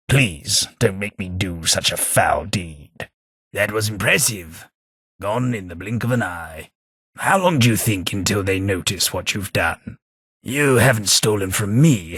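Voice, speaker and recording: A haughty old British aristocrat ghoul from a video game. The low voice is raspy yet bright and forceful. He speaks in a formal, pompous way, with dry wit. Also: no echo, exaggerated voice. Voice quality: raspy, gravelly. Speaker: video game companion character. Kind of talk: scripted video game voice acting.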